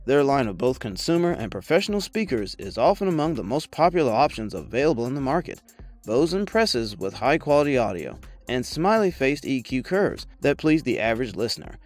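A man's voice: medium pitch, sing-song, moderate pace, a little mellow. A man reading a scripted product review voice-over in a polished, promotional manner. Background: background music.

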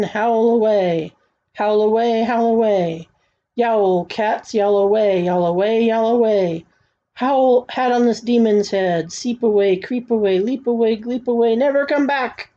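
A woman voicing mysterious, solemn magicians with slow, drawn-out rhythmic chanting in a storybook read-aloud. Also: on the high side, dark tone, lo-fi sound.

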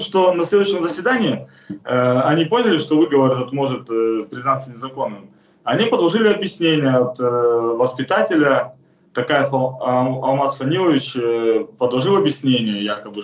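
A man's voice: mid-range voice, roomy sound, low-quality recording. A man in his thirties telling his own case straight to a close camera in a bare indoor room, speaking plainly and earnestly with frequent hesitations. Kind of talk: first-person testimony to camera.

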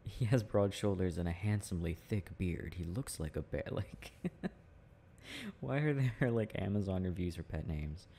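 A young man speaking in a playful, affectionate, halting murmur, like an intimate, casual ASMR boyfriend roleplay, with a deep gravelly voice. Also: dark tone. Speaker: boyfriend roleplay audio performer. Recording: faint background noise.

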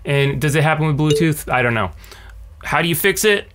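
A man in his thirties talking casually to camera as a tech video host, with a sardonic, animated ranting manner. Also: fairly high pitch, fairly quick. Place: small cluttered home room. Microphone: large condenser mic on a stand beside him.

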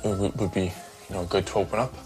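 Very deep-voiced Welshman, few words. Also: steady tone, low-quality recording. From Wales. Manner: short halting replies, struggles with small talk. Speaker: dating show participant. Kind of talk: casual chat. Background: music.

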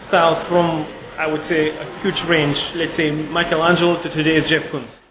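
A fairly high-voiced man with a Bulgarian accent talks haltingly, stopping often as he searches for words. He is in his thirties. The recording is muffled and roomy, with steady noise from the gallery around him. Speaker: artist. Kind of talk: documentary interview. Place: art gallery, indoors.

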